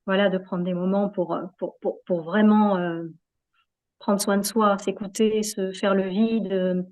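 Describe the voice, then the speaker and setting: A woman's voice: medium pitch, steady tone, measured pace, darkish tone. A woman being interviewed in a reflective, conversational way, hesitating often as she searches for her words. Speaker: interview guest, yoga practitioner.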